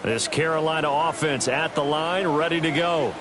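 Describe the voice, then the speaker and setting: A man's voice: on the high side, moderate pace, background noise, lightly acted. A man playing an American football play-by-play announcer in a video game, with clipped, descriptive broadcast delivery. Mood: focused, brisk. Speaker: play-by-play commentator. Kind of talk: sports game commentary. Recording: loud music under commentary. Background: loud music.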